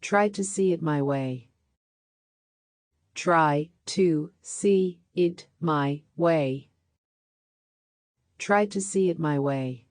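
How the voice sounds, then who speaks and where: A woman reading practice sentences aloud for a language lesson, saying each one three times with a slowed repeat. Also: low voice, a little mellow, dry recording. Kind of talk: slow scripted sentence drills.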